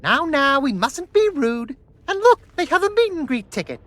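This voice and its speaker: Very high, sugary pirate bride. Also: female voice, sing-song, moderate pace, slight background noise. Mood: coaxing. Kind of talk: video game cutscene acting.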